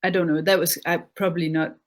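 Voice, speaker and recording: A woman's voice: fairly low pitch, flat delivery, quick pace. Woman hosting a live interview. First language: Danish. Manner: chatty, searching for words, many repeats. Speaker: interview host.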